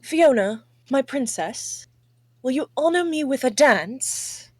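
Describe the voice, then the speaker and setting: A woman's voice: sits high, lively intonation, a little mellow, dry recording, exaggerated voice. A woman playing a smarmy vain prince. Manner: smooth, flirtatious, slow drawl.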